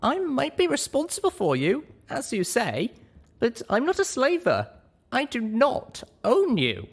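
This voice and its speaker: A man voicing a small, earnest pony mare heroine in falsetto. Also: huge pitch swings, measured pace, husky, exaggerated voice. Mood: earnest, gentle.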